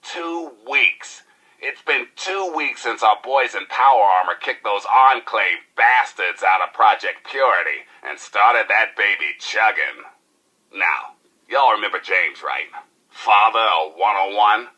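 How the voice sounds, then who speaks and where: An actor playing a cocky, flamboyant post-apocalyptic rebel radio DJ with an American accent and showy, slangy delivery in a video game. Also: male voice, fairly low pitch, animated, bright, forceful tone, breathy edge, lo-fi sound, heavily acted. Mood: earnest, hopeful. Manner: showy, slangy, drawn-out emphasis with howls. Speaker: radio DJ and newscaster. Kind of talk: theatrical radio DJ patter.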